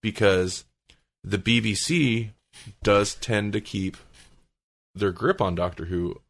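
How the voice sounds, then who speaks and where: Low-pitched man chatting on a podcast. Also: slow pace, creaky. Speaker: podcast co-host. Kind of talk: casual chat.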